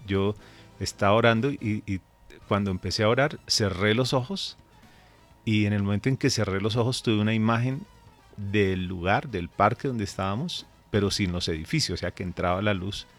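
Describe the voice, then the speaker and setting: A man's voice: lowish voice, moderate pace, soft background music. A man who is a pastor, raised in Colombia, telling a story earnestly and measuredly in a radio interview.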